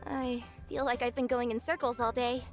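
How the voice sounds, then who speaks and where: A woman's voice: fairly high pitch, level tone, moderate pace, natural voice. A woman voicing a young cat-spirit courier, earnest, polite and eager to please, in a video game cutscene. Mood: friendly, chatty. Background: game music.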